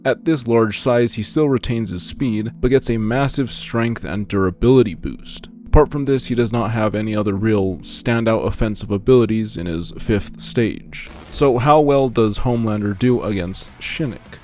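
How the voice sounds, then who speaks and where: Low-voiced man narrating over music. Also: normal speed, hardly any pauses, a little mellow. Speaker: video essay narrator. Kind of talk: scripted narration.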